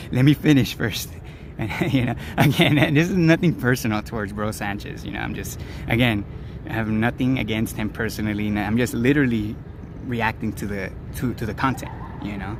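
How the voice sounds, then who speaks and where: A raspy-voiced man talks loosely on his own live stream, stumbling and restarting as he goes. His pitch sits in an ordinary middle range. A steady wash of background noise runs under him. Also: moderate pace. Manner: rambling, stumbles over words, laughs it off. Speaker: streamer and channel host. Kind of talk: casual live-stream commentary.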